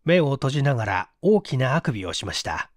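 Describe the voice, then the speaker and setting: A man's voice: mid-range voice, sing-song, brisk, no echo, clean studio sound. A man reading a literary mystery story aloud as scripted audiobook narration. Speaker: audiobook reader, stage actor.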